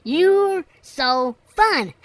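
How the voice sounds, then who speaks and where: A man's voice: very high voice, expressive, very slow, fairly bright tone, no room sound, pitched above the performer's own voice, exaggerated voice. A man voicing a playful, unhinged girl fighter in a teasing, giggly sing-song for a fan fiction audiobook. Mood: teasing glee.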